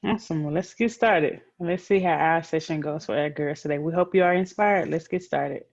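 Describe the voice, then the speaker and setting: A woman's voice: low voice, normal speed, gravelly, no room sound, lo-fi sound. A woman hosting an online program for young girls, speaking in an encouraging, announcer-like way. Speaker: host of a girls' group virtual story time.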